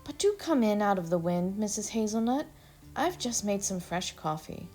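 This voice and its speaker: A woman's voice: mid-range voice, big pitch swings, moderate pace, darkish tone, soft background music, close to an everyday voice. A woman voicing a worried acorn mother in a storybook, complaining and firm.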